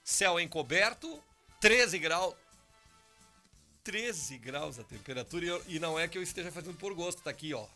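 Hoarse radio host. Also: male voice, fairly high pitch, lively intonation, normal speed, pushed and bright, soft background music. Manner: chatty, easygoing, talks over cohost. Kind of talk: casual morning show chat. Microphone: studio microphone.

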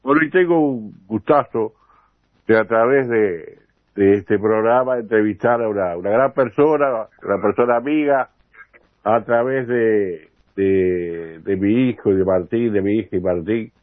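Older Uruguayan radio host on a tinny line. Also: male voice, on the low side, measured pace. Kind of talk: radio greetings and interview. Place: radio programme on air. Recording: tinny phone-like radio sound, roomy echo.

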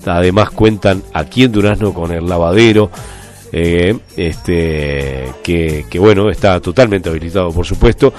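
A Uruguayan man co-hosting a local radio show, reading a sponsor spot in a relaxed way. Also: sits low, measured pace, faint music behind. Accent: Uruguayan Spanish. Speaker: radio co-host. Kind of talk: casual radio chat and sponsor reads.

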